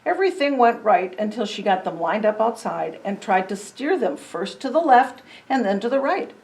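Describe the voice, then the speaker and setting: Woman doing storytime for kids, medium pitch, expressive and a bit dramatic with the reading. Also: moderate pace, vocal fry. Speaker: storybook reader. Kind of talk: children's story read-aloud with chatty asides.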